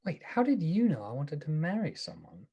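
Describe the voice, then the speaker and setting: A woman playing an earnest, stern and excitable male asylum doctor in a radio play. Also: deep voice, moderate pace, creaky, slightly rough, dull audio, full character voice.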